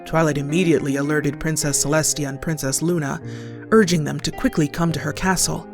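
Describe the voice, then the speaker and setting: A woman's voice: low-pitched, steady tone, brisk. A woman narrating a scripted horror story as a storyteller. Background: clear background music.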